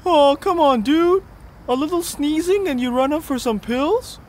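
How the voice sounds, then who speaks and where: A woman's voice: sits high, unhurried, a little hiss or hum. A woman voicing a chatty, easygoing cartoon boy with a slangy, exclamatory way of talking. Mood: teasing. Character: big, easygoing boy, a chatty loyal pal. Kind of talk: English-dubbed cartoon acting.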